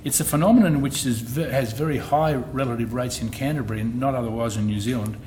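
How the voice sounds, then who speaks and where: A middle-aged man with an Australian accent, an insurance executive giving a presentation at a public meeting, measured and often restarting his phrases. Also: medium pitch, darkish tone. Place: meeting hall. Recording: noisy meeting room recording.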